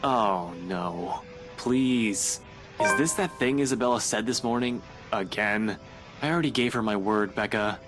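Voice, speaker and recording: A man's voice: on the low side, measured pace, loud music behind the voice, close to an everyday voice. An actor voicing a defensive, impatient young man in a visual novel game, exasperated and protesting.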